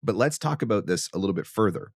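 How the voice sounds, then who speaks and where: A man with a fairly low voice speaks as a host giving practical instruction. He leans deliberately on key words for emphasis. The sound is dry, with very little room in it. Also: animated, breathy edge, dry recording. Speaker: host, voice actor teaching marketing. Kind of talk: instructional monologue to camera.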